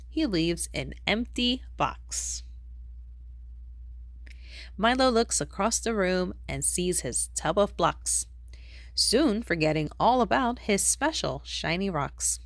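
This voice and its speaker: A woman narrates a rhyming picture book for young children. Her delivery is unhurried and expressive, with pitch that swings widely, and the recording is dry with very little room sound. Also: no room sound. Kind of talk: read-aloud storybook narration in rhyme.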